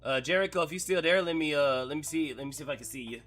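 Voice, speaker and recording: A hoarse man hosting a casual live-stream chat, telling stories in an excitable, slangy way. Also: a little high, racing, faint music behind. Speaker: streamer host.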